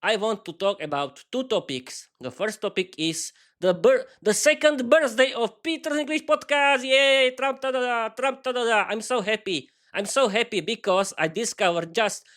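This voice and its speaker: Man in his 30s, native Slovak, enthusiastic podcast host, halting speech, repeats words. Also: extremely high pitch, fairly bright tone. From East Slovakia. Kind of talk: improvised solo podcast monologue.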